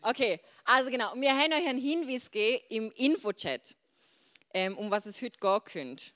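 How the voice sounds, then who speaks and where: A woman's voice: on the high side, expressive, fairly quick, fairly bright tone. A woman in her twenties with a Swiss German accent, speaking informally to a group in a chatty way and dropping in English words. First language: Swiss German dialect. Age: 20s.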